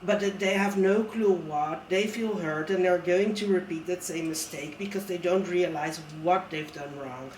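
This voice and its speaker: A man's voice: high-pitched, normal speed, hardly any pauses, a little hiss or hum. A man speaking earnestly and thoughtfully in a casual conversation, often restarting his sentences. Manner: earnest, reflective, with many restarts. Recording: roomy sound from a distant mic.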